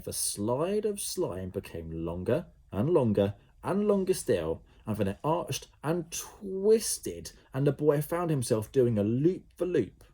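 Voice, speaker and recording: A male primary school teacher reading a children's story aloud, animated and full of sound effects. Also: fairly low pitch, breathy edge.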